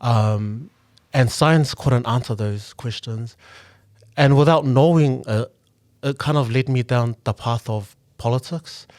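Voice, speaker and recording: A young man from New Zealand speaks in a mid-pitched voice, thinking aloud in an earnest, reflective way with plenty of "um"s and restarts. The sound is very dry and close, with no room echo at all. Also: slow pace, a little mellow. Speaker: guest, local politician and engineer. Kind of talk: casual podcast conversation.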